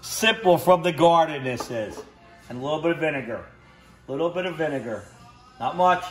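A man's voice: on the high side, lively intonation, brisk, music in the background, low-quality recording. A man in his fifties filming himself on a phone while he cooks at home, raving about fresh ingredients and repeating his catchphrases. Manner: enthusiastic, repeats catchphrases, sniffs and tastes food while talking. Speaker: home cooking vlogger. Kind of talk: casual cooking vlog. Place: home kitchen indoors, then outdoor deck at a grill. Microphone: handheld phone camera.

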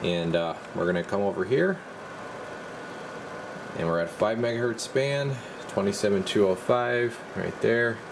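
Man, deep creaky voice, informal technician walkthrough, thinking aloud, noticeable background noise. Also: measured pace. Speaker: radio repair technician presenting his work. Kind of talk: casual hands-on repair demonstration.

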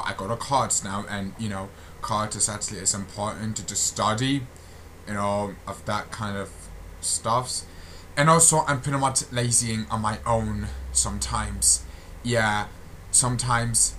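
A young man giving a casual channel update as a gaming video creator, halting and often repeating his phrases, on a built-in computer microphone. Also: mid-range voice, pushed and bright, slight background noise. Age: young adult.

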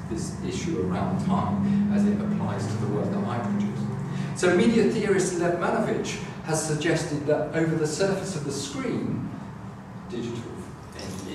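A middle-aged man lectures to a class, stumbling over restarts and fillers as he works through his ideas. He is picked up from across a very echoey room, and a clear hum of room noise sits under his voice. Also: mid-range voice. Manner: halting, many restarts and fillers. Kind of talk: academic lecture. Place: classroom with a screen, indoors. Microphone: far camera. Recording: echoey room, far from the camera mic.

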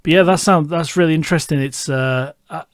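A man's voice: fairly high pitch, fairly quick, no echo, clean studio sound. A middle-aged man with a Scouse accent hosting a podcast interview, talking casually and rambling with many restarts. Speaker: podcast host.

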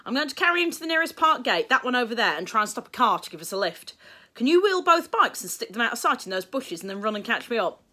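Lady doing a fed up english mum from a kids story, firm, raised pitch, bright and forceful. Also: sing-song, fast talker, heavily acted. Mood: urgent. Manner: firm, emphatic. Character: exasperated, brisk mother of two squabbling boys.

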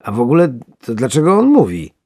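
A storyteller voicing a bewildered, flustered male angler from a storybook, muttering to himself and trying to stay calm. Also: mid-range voice, brisk, dry recording, clean studio sound, voice pushed up. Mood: confused. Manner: muttering, self-soothing.

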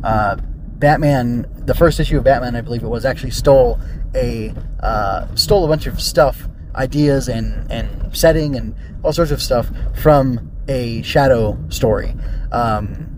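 A man's voice: medium pitch, measured pace, darkish tone, slight background noise. A man hosting a casual solo review podcast, rambling and thinking aloud with tentative digressions. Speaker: podcast host and film reviewer.

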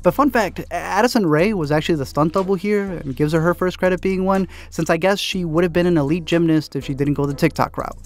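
Fast-talking man recapping a movie. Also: on the high side. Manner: quick, wry, joking delivery. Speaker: host.

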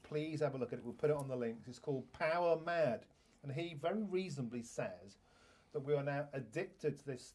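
A man's voice: mid-range voice, a little mellow. Emphatic man in studio chat. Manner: emphatic, laughs often. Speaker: co-host. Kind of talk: casual political chat. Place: small studio with leather armchairs.

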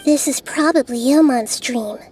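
A woman voicing a gentle, wise little girl goddess in a video game, soft and thoughtful. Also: high-pitched, over music, lightly acted. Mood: calm, thoughtful. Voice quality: soft, girlish. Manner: thoughtful, measured. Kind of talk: video game character acting.

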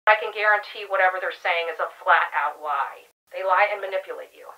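A woman's voice: fairly low pitch, a little fast, pushed and bright. A woman talking off the cuff, defensive and insistent, repeating her assurances, in tinny phone-like audio. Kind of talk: defensive unscripted talk.

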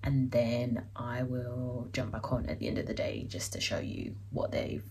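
Chatty woman with a low voice. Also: measured pace, darkish tone, a little hiss or hum. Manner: chatty, many ums and likes. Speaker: home vlogger. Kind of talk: casual vlog chat. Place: home kitchen, indoors. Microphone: phone held at arm's length.

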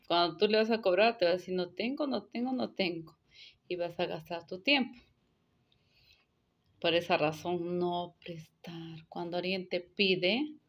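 Earnest young woman, lowish voice. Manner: earnest, repetitive, drops to soft near-whispers. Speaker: vlogger giving advice. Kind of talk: casual advice monologue to camera. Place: indoors, close to phone. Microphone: phone held close to the face.

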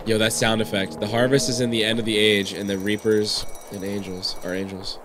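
A man's voice: flat delivery, fairly quick. A man in his thirties with a nasal voice, getting over a cold, giving jumpy, swearing commentary while playing a horror game on a headset mic. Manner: jumpy, swearing, yelps in high bursts when startled. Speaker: gaming streamer. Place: indoors at a gaming desk. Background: game music.

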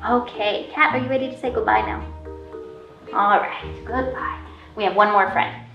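A woman's voice: medium pitch, steady tone, normal speed, creaky, lo-fi sound, music in the background. A woman in her thirties hosting a library storytime, talking to small children in simple, encouraging language with questions and praise. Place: indoor library room with painted mural. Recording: roomy, distant camera pickup.

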